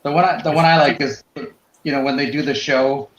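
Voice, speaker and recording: A man in casual conversation, talking a little haltingly and repeating words. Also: on the high side, steady tone, a bit bright. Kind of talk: casual chat. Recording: roomy echo, dull sound.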